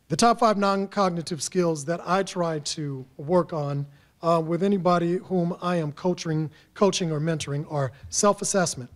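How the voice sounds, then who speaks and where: A man's voice: sits high, a little mellow. A man who coaches and mentors students, giving an emphatic motivational talk with punchy repetition.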